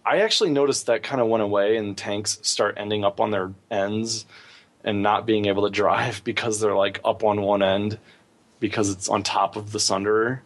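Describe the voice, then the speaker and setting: Low-voiced man chatting on a podcast. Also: steady tone, normal speed. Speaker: podcast co-host. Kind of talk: casual gaming podcast discussion.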